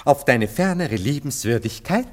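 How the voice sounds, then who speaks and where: A jealous, boastful gentleman in a radio play, acted by a man. His mid-pitched voice leaps up and down as he sputters, repeats himself and mocks with wounded vanity. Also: moderate pace, natural voice. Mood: haughty, vengeful. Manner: excitable, mocking, sputtering with repeated words. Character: jealous, vain Viennese gentleman lover, agitated and boastful. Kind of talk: German radio play acting.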